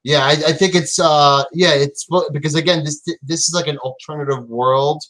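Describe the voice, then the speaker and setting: A man chatting casually as a comic reviewer, explaining things in a tentative, halting way. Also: fairly high pitch, fairly even intonation, fairly bright tone, low-quality recording.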